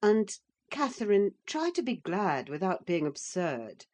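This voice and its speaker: A woman voicing a fussy, cross young gentleman in a storybook reading, clipped and disapproving. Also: medium pitch, sing-song, a little slow, slight background noise. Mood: reproving.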